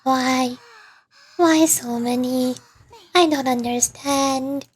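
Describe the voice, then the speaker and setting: High-pitched woman streamer, soft and casual. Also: fairly even intonation, drawn-out words, husky. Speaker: VTuber game streamer. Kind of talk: casual gaming livestream chat.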